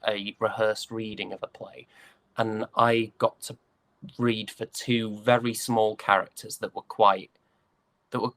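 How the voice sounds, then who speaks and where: A man's voice: on the low side, a bit bright, no room sound. A man, a stage actor, chatting casually in an interview in a hesitant, reflective way, often correcting himself.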